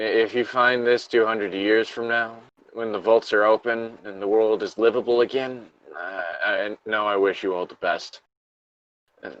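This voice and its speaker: A man reading a diary log as a trapped, dying factory worker, halting, weary and anguished. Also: deep voice, a bit bright, muffled recording. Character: dying, despairing male factory worker trapped under rubble.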